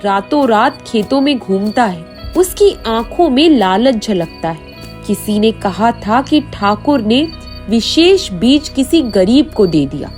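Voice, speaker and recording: Woman narrator, dramatic folk-tale storytelling, slow pace, slightly high pitch, faint background music. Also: big pitch swings, darkish tone.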